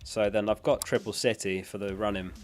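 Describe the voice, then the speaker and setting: A man's voice: on the low side, fairly even intonation, brisk, a little hiss or hum. A man chatting casually as a livestream host, reading out and answering viewer questions in a matter-of-fact way, his voice starting to give out from being unwell. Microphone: close dynamic studio microphone.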